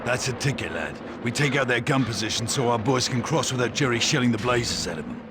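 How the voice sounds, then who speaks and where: An actor playing a seasoned British army sergeant with a British accent, giving brisk commanding orders in a game. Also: male voice, medium pitch, expressive, very noisy, close to an everyday voice. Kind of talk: video game cutscene acting. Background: loud war music and gunfire.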